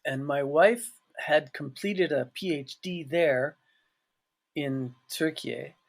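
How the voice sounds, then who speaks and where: A man, a guest on a podcast, talking on a call from his office in a halting way, full of restarts. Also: fairly high pitch, creaky. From Canada. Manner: halting, with restarts and "you know, like". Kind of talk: casual interview on a call.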